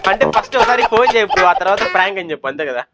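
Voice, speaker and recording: A man's voice: high-pitched, expressive, racing, background noise. A man chatting casually and coaxingly as a prank host, mixing in English words. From Kadapa, Andhra Pradesh, India. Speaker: YouTuber hosting a prank on a video chat. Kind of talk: casual banter, giving dares.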